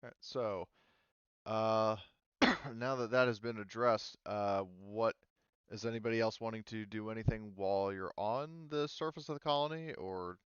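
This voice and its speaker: A man's voice: on the low side, normal speed, smooth, no room sound. A man running an online tabletop roleplaying game as game master, hesitant and thinking aloud as he goes. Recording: slightly dull, lo-fi voice-chat audio.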